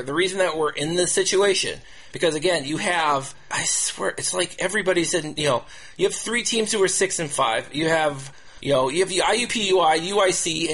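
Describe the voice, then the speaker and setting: A man's voice: a little high, a bit bright. A man hosting a casual sports talk show, repeating himself and restarting phrases as he goes. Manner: halting, repetitive, restarts phrases over and over. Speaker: podcast host.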